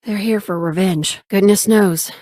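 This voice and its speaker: A woman's voice: mid-range voice, a little slow, warm tone, dry recording, clean studio sound, close to an everyday voice. A woman playing a folksy, plucky young pilot scout in a video game, giving a plainspoken report. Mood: somber, sympathetic. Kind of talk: scripted game radio report.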